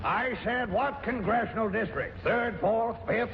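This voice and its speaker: Officious middle-aged politician, high creaky voice, pompous bluster, vintage radio comedy, muffled noisy sound. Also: male voice, a little slow. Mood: impatient, officious. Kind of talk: 1940s radio comedy acting.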